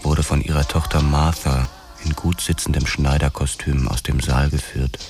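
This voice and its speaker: A disgraced detective narrates in a very deep voice over prominent music. He is sober, measured and resigned, telling his own story in a noir radio play. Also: steady tone. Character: world-weary disgraced American police detective narrating his downfall. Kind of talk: scripted first-person radio drama narration.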